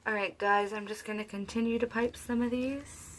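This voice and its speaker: A woman hosting a soap-making video, talking softly and casually because her throat is sore and she is losing her voice. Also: fairly high pitch, fairly even intonation, normal speed, a little hiss or hum. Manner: soft-spoken, talks quietly. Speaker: soap-making channel host. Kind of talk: casual craft tutorial narration.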